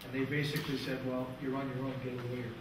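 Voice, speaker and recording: Man, audience questioner, halting and persistent, heavy room echo, noisy recording. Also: medium pitch, flat delivery. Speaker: audience member, musician. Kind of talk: informal questions from the floor. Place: indoor presentation room, in audience. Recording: echoey room, distant mic, noisy.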